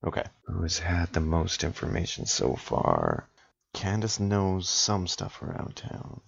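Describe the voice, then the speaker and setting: A man's voice: deep voice, wildly swooping pitch, a little slow, gravelly, slightly rough, no room sound. A man taking part in a tabletop role-playing game as a player, talking casually and thinking aloud, with slightly dull home-studio sound.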